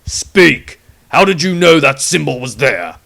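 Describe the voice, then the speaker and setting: A man's voice: on the high side, animated, unhurried, creaky, pushed and bright, voice pushed up, exaggerated voice. Man voicing a fierce, zealous witch hunter. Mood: furious, zealous. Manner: menacing, commanding, accusing. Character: fierce, zealous, spiteful male witch hunter with scarred face. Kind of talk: fantasy audiobook character acting.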